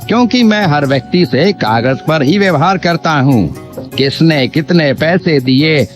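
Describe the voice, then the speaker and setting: Slow, sly greedy village landlord played by a man. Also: a little high, lively intonation. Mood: feigned innocence. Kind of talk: Hindi cartoon moral story dub. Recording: dull lo-fi audio with background music.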